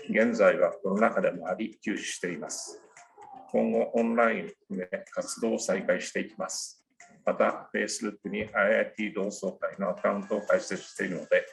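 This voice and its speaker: Male alumni rep, low and gravelly, very fast, faint music under him. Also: low-quality recording. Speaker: alumni representative. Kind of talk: recorded welcome message.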